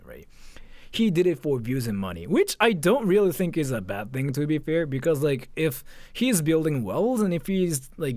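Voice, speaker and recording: A young male streamer with very lively, swinging intonation gives off-the-cuff reactions. He speaks in loose slang, often doubling back on his words, and the sound is close and dry. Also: on the high side, dry recording. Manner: slangy, hesitant, repeats himself with frequent "like". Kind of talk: casual live reaction commentary.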